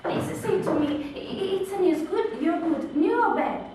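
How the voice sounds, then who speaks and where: A woman's voice: very high-pitched, lively intonation, moderate pace. A young woman acting an eager girl in love who impatiently begs for news, in an amateur stage performance. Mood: pleading. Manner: pleading, insistent, rising with impatience. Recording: echoey, distant, noisy room.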